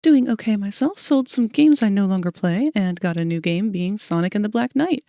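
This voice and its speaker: A woman's voice: mid-range voice, animated, moderate pace, warm tone, no room sound, studio quality. A woman streamer chatting casually with her viewers while sketching, her voice a little scratchy, greeting people by name and laughing often. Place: cold room at home.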